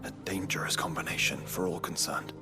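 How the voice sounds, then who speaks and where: A man's voice: low voice, steady tone, a little fast, over music. An actor playing a thoughtful, reassuring nobleman in a video game drama, formal and articulate in his speech. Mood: grave, reassuring.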